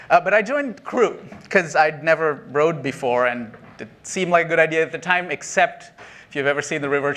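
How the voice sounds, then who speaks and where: A man's voice: medium pitch, fairly bright tone. A middle-aged man from Sri Lanka giving a keynote talk, chatty and self-deprecating, laughing at his own jokes with many ums. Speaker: conference speaker. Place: conference stage.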